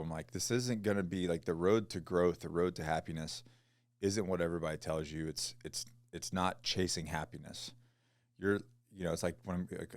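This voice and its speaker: Male podcast host, very low voice, blunt laid-back advice, dry close mic. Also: a little mellow, no echo. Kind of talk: casual podcast chat.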